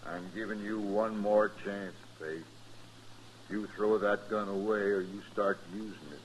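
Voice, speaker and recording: An American actor playing a cold, threatening gunslinger bully in an old western radio drama. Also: male voice, fairly low pitch, fairly even intonation, a little slow, lightly acted. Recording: muffled, faintly noisy vintage radio recording.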